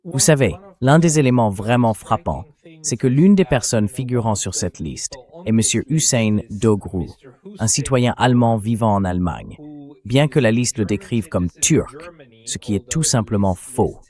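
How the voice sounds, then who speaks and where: A man's voice: fairly low pitch, animated, normal speed, a little mellow. A man hosting an expert discussion in a translated voice-over, conversational and questioning. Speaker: debate host.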